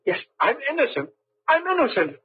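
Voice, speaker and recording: An actor playing a frantic, innocent condemned man in a vintage radio drama, with a strained, raspy voice. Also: extremely high pitch, huge pitch swings, fairly quick, dry recording, heavily acted. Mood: terrified, desperate. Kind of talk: 1930s radio acting. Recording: muffled vintage radio recording.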